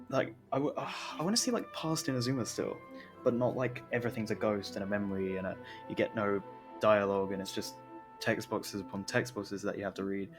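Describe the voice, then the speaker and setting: Male game streamer: lowish voice, casual rambling delivery, halting and mumbly, music clearly behind. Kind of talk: casual live gameplay commentary.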